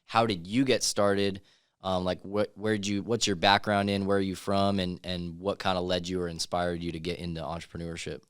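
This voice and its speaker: A man's voice: low-pitched, little pitch movement, moderate pace, clean tone, no room sound, studio quality. A man interviewing in a casual, conversational way as a podcast host, with informal, loosely phrased questions.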